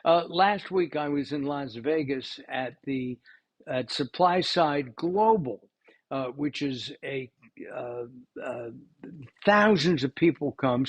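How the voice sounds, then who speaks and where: A man's voice: a little high, muffled recording. A man hosting a cooking show, chatting casually with lots of fillers and restarts. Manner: rambling, many fillers and restarts.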